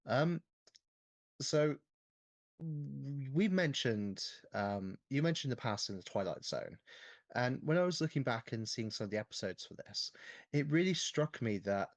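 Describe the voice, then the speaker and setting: Male podcast co-host, average pitch, thoughtful and halting in casual discussion, very dry close sound. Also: lively intonation, no room sound. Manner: hesitant, halting, thoughtful phrasing.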